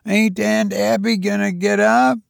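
A man's voice: high-pitched, little pitch movement, unhurried, vocal fry, no echo, studio quality, pitched above the performer's own voice, exaggerated voice. A man voicing a helpless, childish, self-pitying woman in a storybook reading, with a plaintive, naive manner. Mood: aggrieved.

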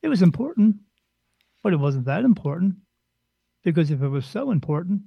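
Man, spirit medium guest, blunt, rambling, gravelly, dry lo-fi recording. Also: high-pitched, very animated, normal speed, no room sound. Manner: rambling, blunt, mimics other people's voices. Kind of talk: casual podcast chat.

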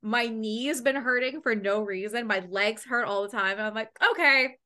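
A woman's voice: fairly high pitch, moderate pace, pushed and bright. A woman in her late twenties from Canada, hosting a casual podcast interview, chatty and swearing freely. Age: 20s. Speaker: podcast host.

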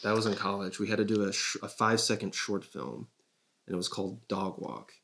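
A man's voice: fairly low pitch, lively intonation, brisk, vocal fry, background noise. A man chatting casually as a guest in a comedy interview, rambling and joking as he corrects himself. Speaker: comedy podcast guest, sketch writer.

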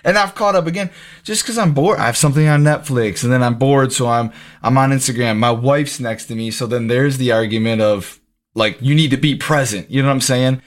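A man of about thirty with a mid-range voice, loose and chatty in the host's chair. He pokes fun at himself and strings his questions together as he goes, in an easy, relaxed podcast style. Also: medium pitch, a little fast. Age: 30s. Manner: casual, self-joking, asks rambling questions. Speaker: podcast host. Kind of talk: conversational podcast interview.

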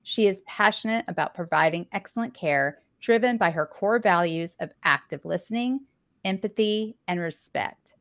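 Woman podcast host, upbeat and encouraging, mid pitch. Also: moderate pace, a bit bright. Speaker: interview host. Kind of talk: casual interview hosting.

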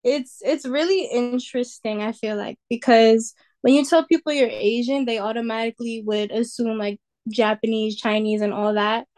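A young woman from the Bronx speaks as a guest on a podcast, her voice pitched a touch high. She talks in a casual, reflective way, pausing on "um" and "like" as she recalls things. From New York, Bronx. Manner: relaxed, reflective, with fillers like "like" and "um". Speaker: guest musician. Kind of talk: casual interview conversation.

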